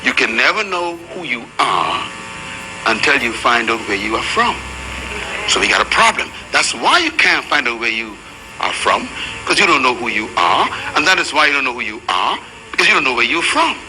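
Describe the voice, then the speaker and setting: A man's voice: mid-range voice, sing-song, normal speed, noisy background. A man preaching a sermon to a room full of people, emphatic and rhythmic. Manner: emphatic, preaching to a live crowd. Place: large room with an audience.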